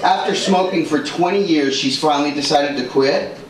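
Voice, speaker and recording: A man's voice: fairly high pitch, moderate pace. A man doing a stand-up comedy set on a club stage, punchy and exaggerated, slipping into character voices. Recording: echoey club audio from far off, loud room noise.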